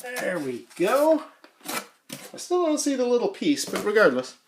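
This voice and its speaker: A casual YouTuber from Canada with a lively, swinging pitch that sits at a middling height. They ramble and hesitate while thinking aloud, on an amateur home recording with faint background noise. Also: unhurried. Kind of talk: casual unboxing vlog.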